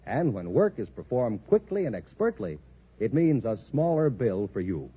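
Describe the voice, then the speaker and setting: A man's voice: mid-range voice, sing-song, normal speed, breathy edge. An American man announcing formally in the style of 1950s radio advertising, enunciating with care. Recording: dull vintage radio recording.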